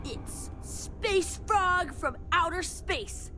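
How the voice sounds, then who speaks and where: A woman's voice: very high voice, expressive, extremely slow, background noise, full character voice. A woman voicing a chatty, childlike young girl from Mars as video game character dialogue. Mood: eager. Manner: eager, childlike, rambling.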